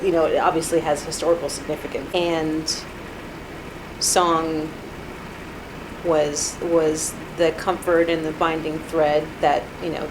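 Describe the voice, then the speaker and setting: A woman's voice: low-pitched, noisy background. A woman in her thirties being interviewed at home, speaking hesitantly with many fillers and restarts. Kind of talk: reflective interview. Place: apartment.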